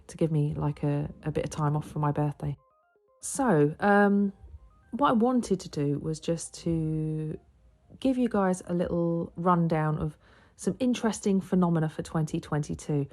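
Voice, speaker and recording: A woman hosting a solo podcast, croaky and a little bunged up from a cold, rambling with many ums and self-corrections. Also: low voice, warm tone. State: croaky with a sore throat and blocked nose. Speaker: podcast host and astrologer. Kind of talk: casual solo podcast chat.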